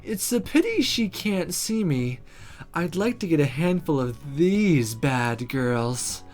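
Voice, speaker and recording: Sultry game demoness, played by a woman reader: breathy, playful, languid pace, swooping pitch. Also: big pitch swings, darkish tone, soft background music, heavily acted. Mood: teasing. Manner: drawn-out, suggestive purring delivery.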